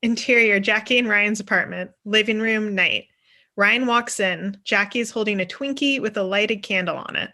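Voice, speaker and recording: Bright-voiced young woman narrating. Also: mid-range voice, moderate pace. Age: young adult. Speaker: stage-direction narrator. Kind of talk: scripted narration. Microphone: video call.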